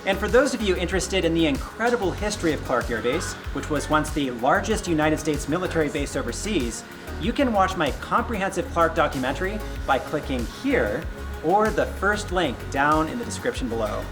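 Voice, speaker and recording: A male presenter with a slightly high voice leads a guided tour in a chatty, playful way. His words ring with heavy echo and hall reverb as he talks to the camera. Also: big pitch swings, fairly quick. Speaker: host of a tour documentary. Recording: echoey hall reverb, music behind.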